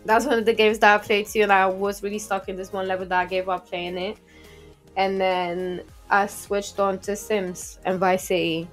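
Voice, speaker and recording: A woman's voice: medium pitch, level tone, normal speed. A woman in her twenties from Portugal chats casually as a podcast guest, telling things in slangy English. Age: 20s. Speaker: podcast guest and game streamer. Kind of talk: casual interview chat. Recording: music playing behind the talk.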